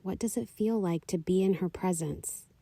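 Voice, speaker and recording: A woman leading a guided meditation, giving calm, soothing, unhurried instructions. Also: lowish voice, no echo. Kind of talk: guided meditation, slow scripted narration.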